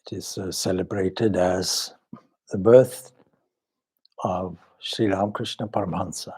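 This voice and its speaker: A man leading a guided meditation as a swami, speaking solemnly and measuredly in short phrases with long pauses. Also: low-pitched, fairly even intonation, a little mellow, husky. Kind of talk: spiritual talk and guided meditation.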